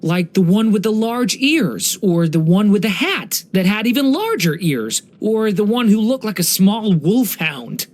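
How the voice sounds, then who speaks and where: A young boy who was once a small dragon, a gentle and earnest video game character. The voice sits in a middle register and moves with very lively, varied intonation. Each line is told carefully, with innocent wonder and a soft heart. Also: medium pitch, unhurried, few pauses. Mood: admiring, musing. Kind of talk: scripted game character voice lines.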